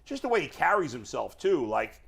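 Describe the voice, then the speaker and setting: Man, creaky edge, lively pitch movement, casual sports commentary, plain colloquial talk. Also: fairly quick. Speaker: sports show panelist.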